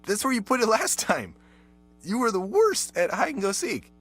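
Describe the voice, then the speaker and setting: A man's voice: sits high, huge pitch swings, moderate pace, a bit bright, husky, faint music behind, heavily acted. A man voicing a chatty young pet dog in a comic ad, wry and mock-exasperated about its owner. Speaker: ad voice-over. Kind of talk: comic ad voice-over.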